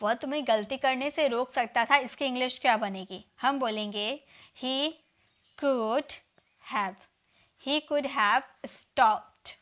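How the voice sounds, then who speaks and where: An Indian woman teaching an English grammar lesson, explaining in Hindi and dictating example sentences slowly word by word. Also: sits high, fairly bright tone.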